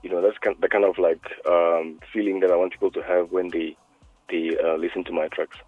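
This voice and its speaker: A man who is a music producer, talking by phone in an interview, hesitant and full of "um"s and quick to laugh. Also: sits low, little pitch movement, breathy edge, no room sound. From South Africa. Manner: hesitant, many "um"s, laughs easily. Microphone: phone line. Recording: tinny dry phone line.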